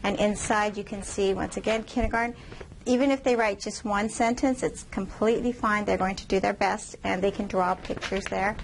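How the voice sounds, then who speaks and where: A woman's voice: mid-range voice, fairly even intonation, creaky, low-quality recording. Woman lecturing over slides. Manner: reads slides aloud, explanatory, measured. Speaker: professor.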